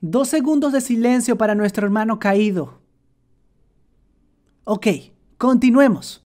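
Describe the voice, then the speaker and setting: Woman, comic cartoon narration, mellow dark voice, sarcastic. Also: fairly high pitch, measured pace, faint music behind. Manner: sarcastic, playful asides. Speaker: animator narrator.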